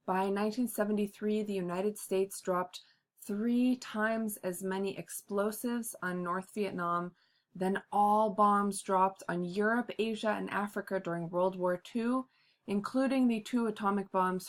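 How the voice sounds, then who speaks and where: A woman giving a class history presentation, halting often with ums and uhs. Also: mid-range voice. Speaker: student presenter.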